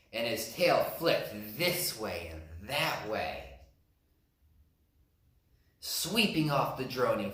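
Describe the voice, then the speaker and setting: Slow expressive man reading a storybook. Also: mid-range voice. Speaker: teacher reading aloud. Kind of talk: picture book read-aloud. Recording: echoey room.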